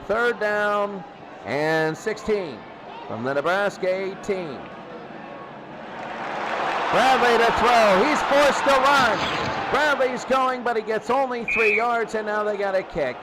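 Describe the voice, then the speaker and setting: High-pitched man calling football, noisy recording. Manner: repeats phrases, short clipped calls. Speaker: play-by-play announcer of a football game. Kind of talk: excitable sports play-by-play commentary.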